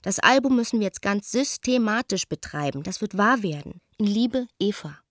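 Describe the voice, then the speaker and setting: A woman's voice: mid-range voice, expressive, normal speed, no room sound, clean studio sound, natural voice. An actress playing a proud, lonely young stage actress reading her reflective, confiding letter in a radio play. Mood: urgent. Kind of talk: radio play, letter read as intimate monologue.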